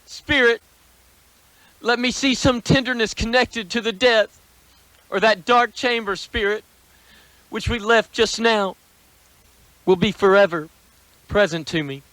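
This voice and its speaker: High-pitched, frightened repentant man. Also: bright, forceful tone, no room sound, poor audio. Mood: pleading. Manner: halting, dramatic, pleading. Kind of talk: radio play acting.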